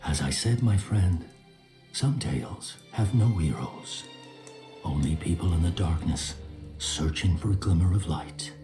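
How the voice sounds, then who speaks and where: A grave storyteller with a low voice, recounting dark tales in a video game. His delivery is hushed, solemn and deliberate. Music plays clearly behind him. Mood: wistful. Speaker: narrator. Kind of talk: scripted game story narration.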